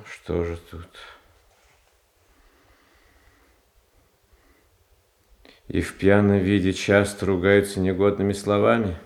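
An actor playing a gentle, naive, frail Tsar in a radio stage tragedy, speaking in a plaintive, hesitant way. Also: male voice, low voice, gravelly. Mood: troubled disbelief. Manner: halting, plaintive, hesitant phrasing. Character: gentle, naive, frail, pious Tsar, soft-hearted and pleading. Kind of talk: classical Russian stage tragedy in radio adaptation. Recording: roomy, echoing stage sound.

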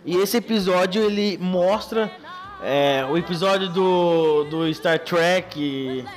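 A man's voice: high-pitched, takes it slowly, soft background music. A man hosting a podcast in casual chat, recapping loosely with many restarts. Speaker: podcast host.

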